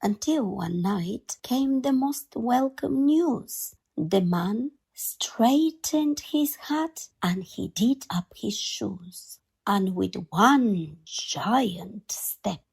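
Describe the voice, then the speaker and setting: A woman reads a children's story very slowly and deliberately, stressing key words for drama. Her voice sits fairly high, with a husky edge and touches of creak. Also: expressive, darkish tone. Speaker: storytime host reading a picture book aloud. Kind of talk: expressive children's story reading.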